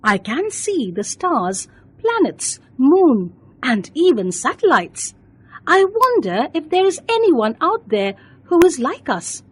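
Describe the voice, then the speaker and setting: Woman as an eager, high-voiced young girl space traveller. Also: big pitch swings, slow, no echo, heavily acted. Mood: wonder.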